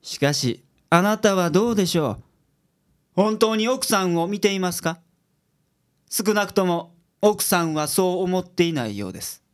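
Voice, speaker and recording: Radio drama detective played by a man, male, higher register, confident agency boss, firm declarative lines. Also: expressive, moderate pace. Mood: firm, admonishing. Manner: businesslike, declarative delivery. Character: male private detective, brisk and self-assured boss.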